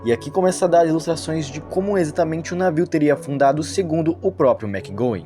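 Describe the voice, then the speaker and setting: Brazilian male narrator, informal horror recap, quick delivery, slightly high pitch, music underneath. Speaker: narrator of a horror video series. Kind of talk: informal storytelling recap with commentary. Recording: music behind the voice. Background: background music.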